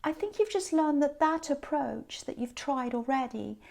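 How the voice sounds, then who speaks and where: Woman, hoarse, high-pitched, fry in the voice, chatty tarot reading to camera. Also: lively intonation, moderate pace, darkish tone. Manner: chatty and meandering, speaking straight to the viewer. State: hoarse, sore voice from talking a lot. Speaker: tarot reader.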